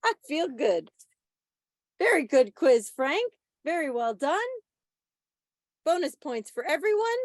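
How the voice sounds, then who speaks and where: Very lively woman presenting a quiz. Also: high voice, takes it slowly, pauses often, dry recording, clean studio sound. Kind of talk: quiz hosting, reading questions and answers. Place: video call.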